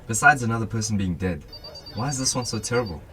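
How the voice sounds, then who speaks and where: A man's voice: fairly low pitch, animated, fast talker, bright, forceful tone. A young man playing a guarded, dry civilian helping police in a radio drama. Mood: questioning. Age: young adult.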